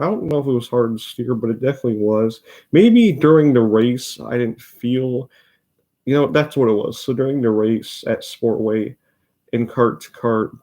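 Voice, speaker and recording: A man's voice: mid-range voice, darkish tone. A fourteen-year-old boy with a Midwestern accent, casually rambling about racing while showing replays. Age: teens. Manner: rambling, self-interrupting, chatty. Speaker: racing video host. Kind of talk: casual commentary over race replays.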